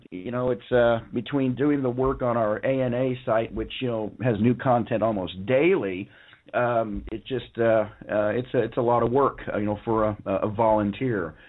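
A hoarse man who is a guest on a radio show, talking casually and rambling with many fillers and restarts. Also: on the low side. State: hoarse from a lung infection. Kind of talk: casual phone-in interview talk. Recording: narrow phone-line sound.

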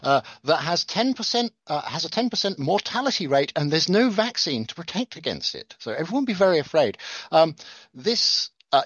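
A man's voice: mid-range voice, fairly bright tone, dry recording. A man hosting a casual talk show, reading news articles aloud and adding sarcastic comments, often stumbling and restarting his words. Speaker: podcast host reading news.

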